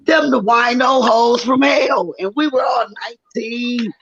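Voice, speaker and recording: A woman in her forties with a raspy, worn voice, telling stories loudly and with animation in a casual live-stream chat. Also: fairly high pitch, a little slow. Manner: loud, animated storytelling. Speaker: guest rapper. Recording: dull lo-fi stream audio.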